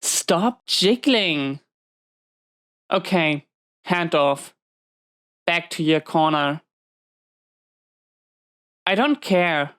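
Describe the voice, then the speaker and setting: A woman performs a dominant female inmate in an intimate audio drama. Her voice is deep for a woman and very smooth, with slow delivery. She gives curt commands, guarded and stern, with a hidden tenderness underneath. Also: lively intonation, fairly bright tone, full character voice. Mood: hurt, stern. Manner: commanding, clipped orders with hesitant confessions.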